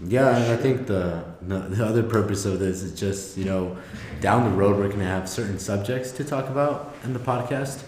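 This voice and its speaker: A man from Mexico co-hosting a casual podcast as a youth mentor, relaxed and quick to laugh. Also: lowish voice, echoey room, slight background noise.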